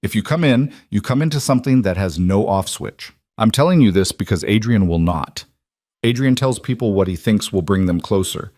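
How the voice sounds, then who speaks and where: A man voicing a blunt male crime boss in his sixties, gravelly and calm in a plain-spoken, lecturing way. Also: on the low side, normal speed, no room sound, clean studio sound. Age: 60s.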